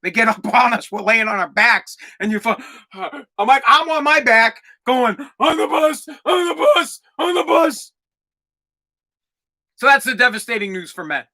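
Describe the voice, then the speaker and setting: A man in his forties hosting a comedic solo podcast, ranting in a profane, rambling way with lots of stammered restarts and bursts of shouting. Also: very high-pitched, lively intonation, measured pace. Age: 40s. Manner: exaggerated, swings into loud shouting. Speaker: podcast host.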